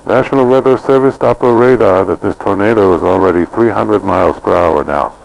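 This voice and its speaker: Low, creaky male warning announcer. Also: a little fast, lo-fi sound. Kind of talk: scripted emergency news announcement.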